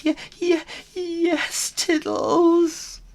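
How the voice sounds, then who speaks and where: A man's voice: flat delivery, very slow, gravelly, heavily acted. Timid little boy kitten. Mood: frightened, meek. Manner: squeaky, childlike, plaintive. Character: small timid boy kitten, meek and anxious.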